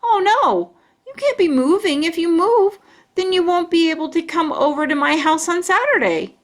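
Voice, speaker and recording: A woman's voice: very high voice, expressive, normal speed, voice pushed up. Woman acting affectionate, coaxing old grandpa. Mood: upset. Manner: coaxing, with tag questions. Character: affectionate, playfully persuasive grandfather.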